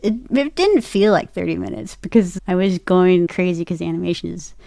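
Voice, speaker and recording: A woman chatting casually as an interview guest, telling stories in an animated way and acting out her own reactions. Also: lowish voice, a little fast, gravelly, no echo, clean studio sound. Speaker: guest, voice actor and podcaster.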